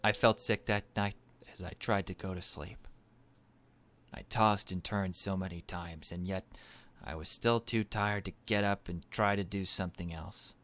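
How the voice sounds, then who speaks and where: Guy doing a lets play, reads the game narration out loud and comments, slightly low voice. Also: steady tone, moderate pace. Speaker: gaming video host.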